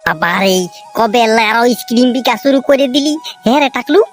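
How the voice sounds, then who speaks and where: A man's voice: very high-pitched, expressive, fast, faint music behind. A man voicing a demanding, indignant villager who heckles, in a comedy cartoon, with a Purulia Bengali accent. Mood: indignant, pushy. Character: pushy, indignant villager cadging ice cream.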